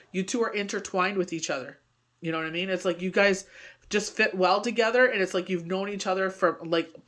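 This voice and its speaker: A middle-aged woman from Canada giving a casual daily tarot reading, rambling and constantly saying "you know what I mean". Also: lowish voice. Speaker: tarot reader.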